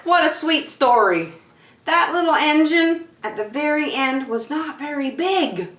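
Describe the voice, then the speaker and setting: A woman's voice: high-pitched, expressive, unhurried, fairly bright tone. A woman reading aloud expressively to young children as a storyteller, with emphatic, encouraging phrasing, recorded in an echoey room.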